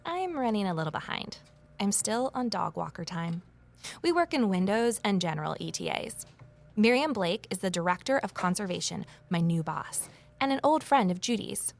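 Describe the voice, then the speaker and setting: A woman's voice: medium pitch, sing-song, moderate pace, creaky. A woman telling her own story in an audio drama. She is candid and wry, with a mid-range voice. She slips in self-deprecating asides as she goes. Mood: candid, upbeat. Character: wry, candid woman, former journalist starting over. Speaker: lead character and first-person narrator. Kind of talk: scripted first-person audio drama narration.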